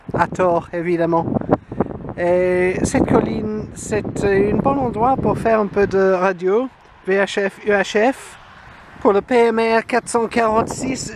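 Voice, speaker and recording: A man's voice: sits high, darkish tone. A man narrating a casual vlog in short, hesitant phrases into a handheld camera outdoors. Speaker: amateur radio hobbyist. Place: outdoors on a grassy hill. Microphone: handheld camera microphone. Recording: outdoor camera audio with clear ambient noise.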